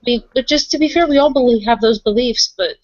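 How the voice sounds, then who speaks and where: A woman's voice: on the high side, a little fast, a little mellow, no room sound. A woman playing a tabletop roleplaying game, chatting casually and rephrasing as she thinks aloud. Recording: dull lo-fi sound.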